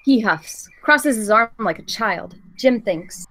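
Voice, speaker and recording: A woman's voice: fairly high pitch, expressive, slow pace, fairly bright tone. A woman reading the stage directions of a film script as scripted narration at a table read.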